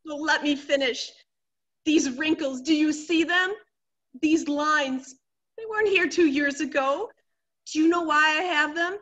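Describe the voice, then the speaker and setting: A woman's voice: sits high, unhurried, a bit bright, dull audio. A middle-aged woman playing an anxious, melodramatic wife in a stage comedy, fretful and exclaiming. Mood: anguished. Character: anxious, melodramatic wife awaiting soldier husband's return. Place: indoor room, recorded over video call.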